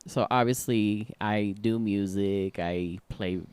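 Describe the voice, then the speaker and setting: A man's voice: lowish voice, steady tone, slow, a little mellow, smooth, dry recording. A man in his forties co-hosting a podcast, chatting casually and expressively, laughing often. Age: 40s. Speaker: podcast co-host. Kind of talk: casual chat.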